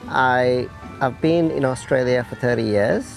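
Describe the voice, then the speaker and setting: A middle-aged man from Sri Lanka telling his own story in a documentary interview, in a measured, deliberate way. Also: medium pitch, gravelly, soft background music.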